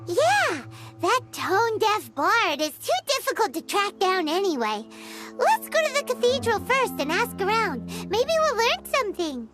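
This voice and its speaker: A tiny childlike female fairy chirps over prominent game music. Her voice is extremely high and squeaky, with lively swoops. She is excitable and curious, a video game companion who questions everything. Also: unhurried, full character voice. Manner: exclamatory, inquisitive, refers to self in third person.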